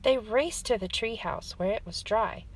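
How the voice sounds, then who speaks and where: A woman's voice: fairly high pitch, unhurried, poor audio. A woman hosting story time, reading a children's storybook aloud as narrator.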